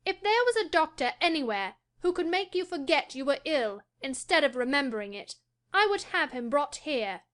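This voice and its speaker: A woman's voice: high voice, very animated, normal speed, fairly bright tone. A woman voicing a fretful, lordly young invalid boy in an audiobook dramatic reading, haughty and commanding. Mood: petulant, imperious.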